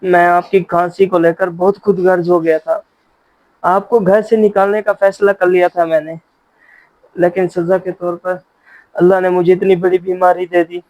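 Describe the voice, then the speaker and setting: A man's voice: high voice, little pitch movement, normal speed, dull audio, close to an everyday voice. A man playing a self-centred younger son in a family moral short drama. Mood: remorseful. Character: selfish younger adult son, scheming, later repentant. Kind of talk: Urdu moral short-film acting.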